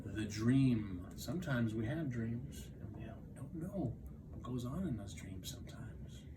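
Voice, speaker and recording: A man reading aloud to young children as a storytime teacher, expressive, with dramatic pauses and stretched emphasis. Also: mid-range voice, far from the mic, very noisy.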